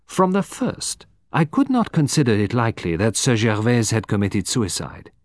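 A man playing a composed, formal detective from abroad, speaking deliberately with dramatic emphasis in an audiobook. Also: medium pitch, sing-song, no room sound, studio quality, close to an everyday voice. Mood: explaining, dramatic. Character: composed, precise foreign male detective, quietly theatrical.

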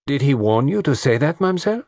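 French-accented detective, voiced by a man, fussy, precise, formal, lively lilting questions, dry close sound. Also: medium pitch, quick pace, no room sound, voice pushed up. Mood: probing. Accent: put-on French. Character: fussy, shrewd male foreign detective, middle-aged. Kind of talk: audiobook character voice.

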